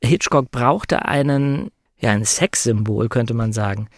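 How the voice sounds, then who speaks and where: Male guest commentator, medium pitch, reflective and conversational, emphatic on key words, recorded dry. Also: a little slow, no room sound. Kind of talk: conversational film analysis.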